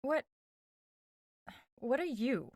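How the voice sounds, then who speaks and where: A woman's voice: high-pitched, big pitch swings, drawn-out words, darkish tone, dry recording, clean studio sound, heavily acted. A woman playing a skeptical, curious young woman in an audio drama, questioning in a casual, dryly incredulous way. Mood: puzzled, probing.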